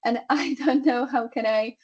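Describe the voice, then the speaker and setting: A woman whose first language is Polish asks for advice at a workshop. She speaks very slowly and haltingly, pausing on fillers, with a fairly high voice. Her audio sounds dull and lo-fi. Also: fairly even intonation. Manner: hesitant, many fillers. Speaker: workshop participant.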